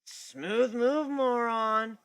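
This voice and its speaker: A man's voice: very high-pitched, very slow, vocal fry, fairly bright tone, smooth, pitched above the performer's own voice, exaggerated voice. A man voicing a brash, irritable young female griffin, snappy and scornful, in a dramatic story reading. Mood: mocking. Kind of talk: dramatic reading of fan fiction.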